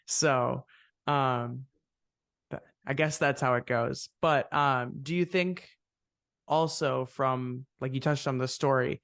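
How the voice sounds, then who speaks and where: Male podcast host, mid-range gravelly voice, reflective and rambling, dry close mic. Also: mid-range voice, steady tone, slow, fairly bright tone, no room sound. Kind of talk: casual interview chat.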